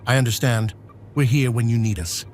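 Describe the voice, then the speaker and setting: Man as devoted, gentle-mannered friend for audio drama, with background hum. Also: medium pitch, steady tone, moderate pace, close to an everyday voice. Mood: sympathetic. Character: loyal, cautious old family friend, sympathetic.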